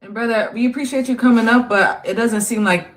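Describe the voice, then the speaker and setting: A woman co-hosting a religious live-stream panel, explaining to callers in a calm, measured way. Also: on the high side, rough recording.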